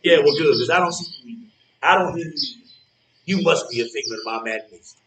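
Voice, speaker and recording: A man playing a feisty old man, animated and high. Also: fast, slight background noise. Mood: dismissive. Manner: folksy, defiant, emphatic. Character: feisty, boastful old man who refuses to feel helpless. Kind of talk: live stage play dialogue. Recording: roomy stage recording from far off.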